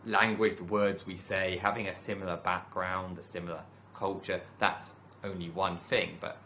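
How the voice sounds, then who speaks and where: A man speaks in a low voice that still sounds bright and clear. He is a teacher giving a calm, reflective talk, pacing his thoughts with measured pauses. A faint hiss sits under the recording. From United Kingdom. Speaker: teacher and channel host. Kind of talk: reflective solo talk to camera.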